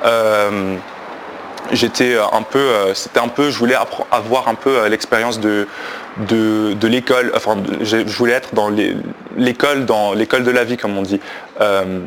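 Man in his twenties from Belgium, deep voice, unscripted hesitant testimony. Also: steady tone, fairly bright tone, slight background noise. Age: 20s. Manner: halting and reflective, many pauses and restarts. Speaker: person sharing his faith testimony.

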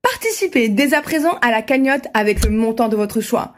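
A woman reading a smooth promotional fundraising appeal for a channel. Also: on the high side, animated, a little fast, a little hiss or hum. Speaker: channel announcer.

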